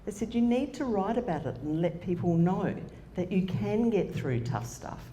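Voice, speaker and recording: A woman's voice: medium pitch, animated, normal speed, mellow. A woman in her sixties, telling a candid personal story as a conference speaker. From Australia. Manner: plain-spoken, candid storyteller. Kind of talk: personal story talk to an audience. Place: conference room. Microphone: handheld microphone. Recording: echoey hall with faint noise.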